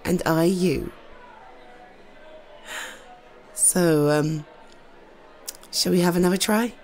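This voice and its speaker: A British woman playing an affectionate, slightly shy girlfriend in an intimate roleplay, speaking softly and hesitantly to her partner. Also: fairly low pitch. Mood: fond, playful. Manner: soft, hesitant, tender, with halting repeats.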